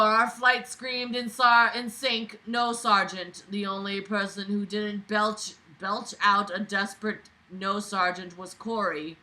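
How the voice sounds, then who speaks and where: A woman's voice: on the high side, pushed and bright, rough recording. A woman reading a book aloud and breaking in with exasperated, sarcastic, swearing commentary in a theatrical way. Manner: sarcastic, scornful, swearing freely. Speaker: reader reviewing a book aloud.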